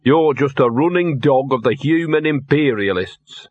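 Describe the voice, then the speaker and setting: A man voicing a cynical, maudlin little talking dog, hoarse and drunkenly slurred, in a comic fantasy audiobook. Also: mid-range voice, a little slow, fairly bright tone, no room sound, lo-fi sound. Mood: drunken lecturing. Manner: slurred, rambling, grumbling.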